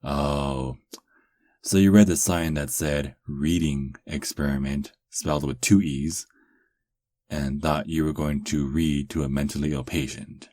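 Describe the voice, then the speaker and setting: Man acting a very deep, sarcastic werewolf. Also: steady tone, dark tone, dry recording, clean studio sound. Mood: sly, mocking. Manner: teasing, sarcastic, slow drawl with lingering pauses. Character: sarcastic flirtatious captive male werewolf patient. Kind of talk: ASMR roleplay acting.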